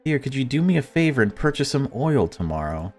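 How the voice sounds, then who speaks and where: A man voicing a falsely cheerful, unhinged mother in a horror visual novel, coaxing and brisk. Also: mid-range voice, very animated, a little mellow, husky, faint music behind, voice pushed up.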